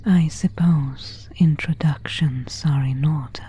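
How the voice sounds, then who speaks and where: A woman voicing an elegant vampire countess in a whispered ASMR roleplay, courteous and quietly predatory, with formal, old-fashioned diction. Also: deep voice, fairly even intonation, takes it slowly, full character voice. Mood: gently solicitous. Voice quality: breathy whisper.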